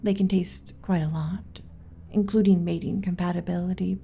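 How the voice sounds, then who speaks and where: A woman playing a gentle, submissive alien servant in an ASMR roleplay, speaking softly and breathily with hesitant, deferential pauses and some whispering. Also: on the low side, fairly even intonation. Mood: explaining, hushed. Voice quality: soft, breathy with whispered stretches. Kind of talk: ASMR roleplay, soft intimate delivery.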